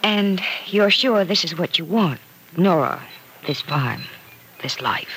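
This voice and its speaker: A woman's voice: on the low side, animated, takes it slowly, fairly bright tone, exaggerated voice, poor audio. An actress in an old-time radio drama playing a sensible, sympathetic woman friend who probes and reasons earnestly as she gives advice. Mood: probing, concerned. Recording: vintage radio recording, faint noise.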